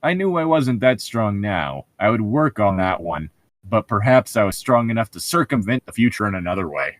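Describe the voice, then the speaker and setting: A man's voice: on the low side, big pitch swings, normal speed, no room sound, clean studio sound. A man co-hosting a comedic read-aloud, bantering casually with a sarcastic, teasing manner and ready laughter. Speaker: co-host of a comedic book-reading show.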